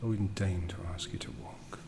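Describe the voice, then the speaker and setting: A man playing an ancient, jaded, aristocratic vampire in a breathy, half-whispered ASMR roleplay, haughty and seductive with long deliberate pauses. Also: sits low, fairly even intonation, close to an everyday voice. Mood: silky, commanding. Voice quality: breathy, often dropping into whisper.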